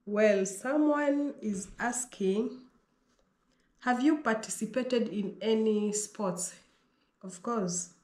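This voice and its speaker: A woman's voice: fairly high pitch, a little mellow. A Kenyan woman in her thirties, a native Pokomo speaker, answering viewer questions in a vlog, reading each question aloud before she answers it. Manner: reads questions aloud, then answers them herself in an unhurried way. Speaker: vlogger. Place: small bedroom indoors. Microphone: fixed camera at desk distance. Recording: echoey bedroom.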